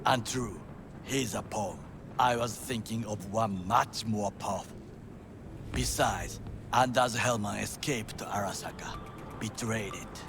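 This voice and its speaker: An actor playing a stern, formal male corporate bodyguard who speaks in clipped, measured sentences and drops into quiet whispers. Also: lowish voice, music in the background. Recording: game audio with clear background noise.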